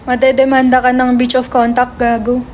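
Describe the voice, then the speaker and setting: A woman's voice: high voice, completely flat delivery, moderate pace, a little mellow, a little hiss or hum, close to an everyday voice. A woman playing a brash, foul-mouthed young male writer in a dramatic script reading, slangy and insistent. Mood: scornful.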